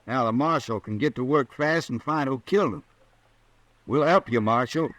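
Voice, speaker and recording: A man's voice: medium pitch, normal speed, fairly bright tone, no echo, natural voice. A man with a rural Western American accent playing a glib, ingratiating cowboy ranch hand in a radio drama. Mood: feigned concern. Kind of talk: old-time radio western acting.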